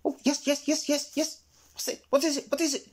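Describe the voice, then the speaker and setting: A man voicing a jittery, spry old male squirrel who stammers and repeats words rapidly, as in a children's storybook. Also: extremely high pitch, little pitch movement, a little mellow, pitched above the performer's own voice. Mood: impatient, flustered.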